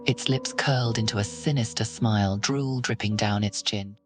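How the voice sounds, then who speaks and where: A narrator telling a horror story in second person, slow and ominous. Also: mid-range voice, soft background music.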